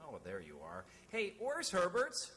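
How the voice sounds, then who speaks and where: A harried stage boss in vintage radio drama. He barks orders in a high, sharp voice that leaps about wildly. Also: wildly swooping pitch, measured pace, big-room sound, heavily acted. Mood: impatient. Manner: barking orders impatiently. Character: harried, bossy male stage director. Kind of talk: old-time radio drama acting.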